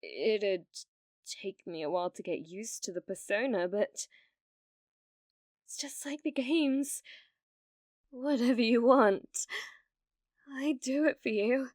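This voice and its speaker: A British woman playing an obsessive, needy young female streamer in an ASMR roleplay, pleading and stammering, swinging between breathy whispers and outbursts. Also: a little high, takes it slowly, long pauses, darkish tone, no echo, clean studio sound. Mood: soft, desperate. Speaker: ASMR voice actress.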